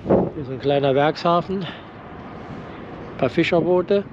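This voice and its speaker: A man with a slightly raised pitch narrates a boat trip in a matter-of-fact way while he steers. He has a cold. Wind and engine noise come through his headset mic outdoors. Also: takes it slowly, muffled recording. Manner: matter-of-fact, commenting on the route. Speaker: boat vlogger. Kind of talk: casual narration while boating. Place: outdoors on a motorboat.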